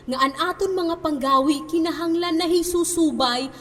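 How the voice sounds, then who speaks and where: A woman's voice: very high-pitched, moderate pace. A woman acting a stern, self-appointed defender of morality, declaiming preachily on stage. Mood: preachy, righteous. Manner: declamatory, preachy, rhetorical questions. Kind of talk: stage play monologue, declaiming. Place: stage in a reverberant hall. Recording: echoey stage hall, background noise.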